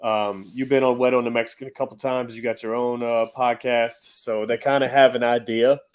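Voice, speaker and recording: A man's voice: mid-range voice, flat delivery, fairly quick, smooth. Easygoing slangy podcast host in his thirties. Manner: easygoing, slangy, frequent "uh" fillers. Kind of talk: casual interview chat.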